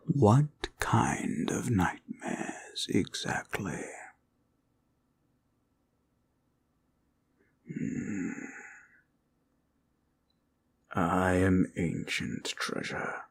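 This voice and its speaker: A man playing an ancient, gentle, fatherly red dragon in an audio roleplay, speaking tenderly in old-fashioned formal phrases. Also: slight background noise, full character voice. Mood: gently concerned. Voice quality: deep, gravelly, husky voice.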